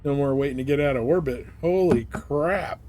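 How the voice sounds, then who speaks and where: A man giving casual live commentary as he streams a space game, chatting to viewers with mild swearing. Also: moderate pace. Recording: clear background noise, game engine rumble. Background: spaceship game sounds.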